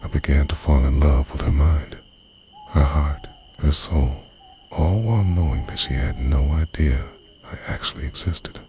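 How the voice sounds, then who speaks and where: A man's voice: very deep voice, a little slow, warm tone, a little hiss or hum. A man playing a lovestruck, obsessive dream invader in an intimate ASMR monologue, confessional, brooding and half-whispered. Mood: yearning, guilty.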